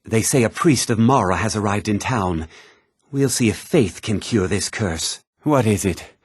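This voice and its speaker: Tired, husky townsman. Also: on the low side, animated, unhurried, no echo. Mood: tired, uneasy. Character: tired, troubled townsman sharing local news. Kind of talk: fantasy role-playing game dialogue.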